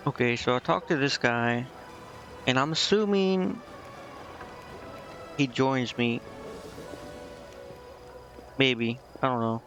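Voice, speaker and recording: A man giving casual game commentary as a streamer, rambling and thinking out loud. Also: mid-range voice, lively intonation, slow pace, pauses often, soft background music. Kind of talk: casual gameplay commentary, reading game dialogue aloud. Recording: muffled mic with clear background noise.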